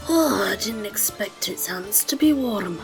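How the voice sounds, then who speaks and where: A woman's voice: mid-range voice, animated, measured pace, creaky, soft background music. A woman playing a sweet young woman in an audio drama. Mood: delighted, tender.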